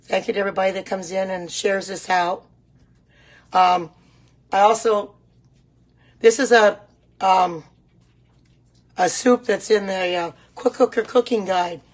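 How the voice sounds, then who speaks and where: A middle-aged woman with a hoarse voice, chatting casually and repeating herself as she hosts a live cooking demonstration. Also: mid-range voice, steady tone, measured pace, long pauses. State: hoarse voice, clearing throat at times. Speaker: host of a live home cooking stream. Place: home kitchen.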